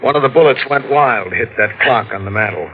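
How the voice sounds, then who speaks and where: A clipped, stern homicide cop. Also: male voice, fairly low pitch, animated, fairly quick, a little hiss or hum. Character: hard-nosed homicide detective. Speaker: police detective. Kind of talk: 1940s radio acting. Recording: muffled old radio broadcast.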